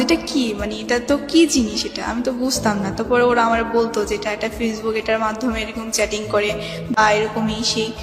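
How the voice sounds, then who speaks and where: A high young woman's voice with a Bangladeshi accent comes through heavy echo, with music clearly playing behind. She tells a personal story informally and ramblingly, often acting as reporter of remembered conversations. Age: young adult. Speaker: guest telling her life story.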